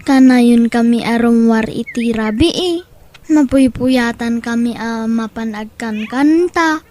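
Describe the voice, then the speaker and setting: A woman playing a worn-out, plaintive young schoolboy in an Ilocano audio drama, speaking in a drawn-out way. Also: on the high side, warm tone, slight background noise. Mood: plaintive complaining. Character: ailing, worn-out young schoolboy who sings for money. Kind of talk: Ilocano radio drama acting.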